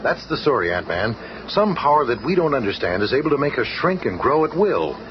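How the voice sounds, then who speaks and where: Serious scholarly male team leader, played by an actor, lively intonation, articulate, noisy seventies radio drama. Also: mid-range voice, fairly quick, lightly acted. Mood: earnest, serious. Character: earnest scholarly male scientist superhero leader. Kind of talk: 1970s radio drama acting. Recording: noisy old 1970s radio recording.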